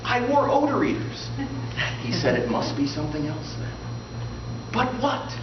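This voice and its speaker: Male comic storyteller, slightly high voice, theatrical punchline timing. Muffled, noisy live room recording from far off. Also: big pitch swings. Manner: theatrical, deliberate pauses for punchlines. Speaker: storyteller in a tall-tale contest. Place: indoor room before a live audience, recorded from afar. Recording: roomy, distant, muffled live recording with loud noise.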